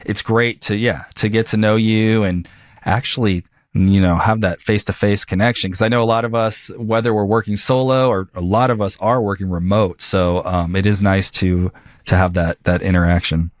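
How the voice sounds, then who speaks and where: A man hosting a podcast interview, talking in a rambling, halting way with many fillers. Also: fairly low pitch, steady tone, dry recording, studio quality. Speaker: podcast host. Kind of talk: casual interview chat.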